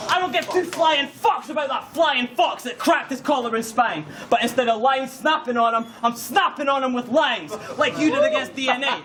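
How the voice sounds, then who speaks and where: A young Scottish man with a Scottish accent, delivering battle rap verses with an aggressive, punchy style and shouted punchlines. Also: very high voice. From Scotland. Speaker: battle rapper. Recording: noisy live event recording.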